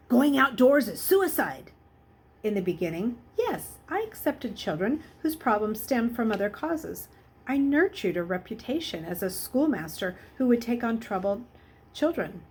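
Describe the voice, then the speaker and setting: A woman's voice: on the high side, breathy edge. A woman voicing a wealthy older male schoolmaster in a storybook, with formal, rhetorical phrasing and a bitter, wry temper. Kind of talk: children's novel read aloud.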